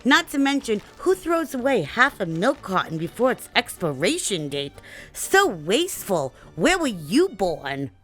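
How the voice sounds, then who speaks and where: A woman's voice: medium pitch, big pitch swings, unhurried, over music. A woman playing a gossipy older New neighborhood watch lady with a chatty, kvetching manner. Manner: chatty, kvetching, Yiddish-peppered. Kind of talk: comedy sketch monologue.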